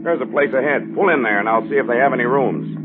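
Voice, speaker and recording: A man's voice: mid-range voice, animated, fast talker, over music, lightly acted. An actor playing a cold, domineering older male gangster in a 1940s radio crime drama. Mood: businesslike. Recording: noisy, narrow-band 1940s radio recording.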